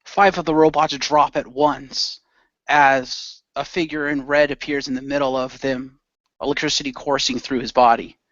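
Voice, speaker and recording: A man with a mid-pitched voice improvises in a radio play, moving casually between narration and his character's lines. The recording is dry and a bit dull. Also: steady tone, normal speed, a bit bright, no room sound.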